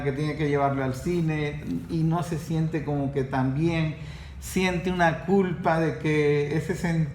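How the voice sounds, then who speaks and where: A man, hosting a podcast conversation, who often cuts in to finish his guests' sentences. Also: a little high. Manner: interjects, finishes others' sentences. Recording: echoey room with faint background noise.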